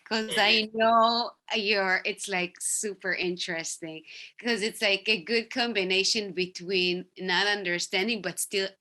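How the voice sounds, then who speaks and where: Woman, halting interviewer, bright voice, video call. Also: mid-range voice, steady tone. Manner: halting, restarts phrases. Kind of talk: interview questions.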